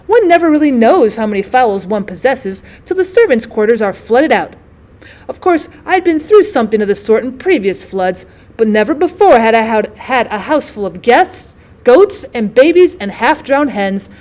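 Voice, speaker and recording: A genteel society lady from a classic short story, acted out by a woman reader, haughty and drily witty. Her fairly high voice rises and dips freely as she recounts her grievances with wordy emphasis. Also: darkish tone, natural voice. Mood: wry exasperation.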